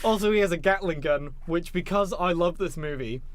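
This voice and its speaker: Higher-pitched man chatting enthusiastically about films. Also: fairly quick. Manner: enthusiastic rambling storyteller, sweary. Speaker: podcast co-host. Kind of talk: casual chat about films.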